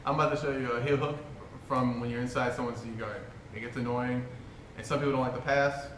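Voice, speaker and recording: A man's voice: mid-range voice, steady tone, fast talker, fairly bright tone. A man hosting a casual podcast about jiu-jitsu, chatting loosely and then explaining a technique step by step. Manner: laid-back, explains with fillers like "you know". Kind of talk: casual chat and technique demonstration. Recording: echoey room with background noise.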